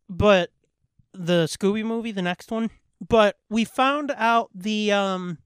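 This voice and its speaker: A man's voice: high-pitched, a bit bright, smooth, no echo. A man co-hosting a casual comedy podcast, bantering and swearing freely. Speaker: podcast co-host. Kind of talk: casual, profane podcast banter and joke reading.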